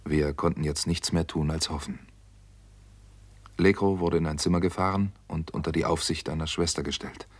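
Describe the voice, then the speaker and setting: Terse, low-voiced doctor turned sleuth. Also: male voice, steady tone, moderate pace, breathy edge. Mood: grave, matter-of-fact. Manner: terse, matter-of-fact, clipped sentences. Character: hard-boiled doctor turned sleuth, narrating his case, a man. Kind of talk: 1960s German radio crime drama narration and dialogue.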